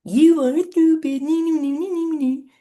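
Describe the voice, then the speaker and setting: Woman doing a high, loud, mocking cartoon critic that sings its taunts. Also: steady tone, measured pace. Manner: sing-song teasing, high and loud.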